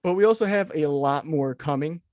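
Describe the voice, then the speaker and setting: A man hosting a podcast, talking excitedly and rambling with many restarts. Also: on the high side, a little fast, dry recording. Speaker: podcast host. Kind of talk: casual podcast chat.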